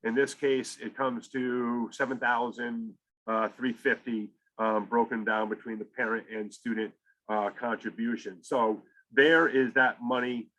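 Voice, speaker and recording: A man with a mid-pitched voice gives an informal presentation in the role of a school counselor. He explains things step by step, in a conversational way, as if guiding parents through a document. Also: fairly even intonation, a little slow, bright, forceful tone, rough recording.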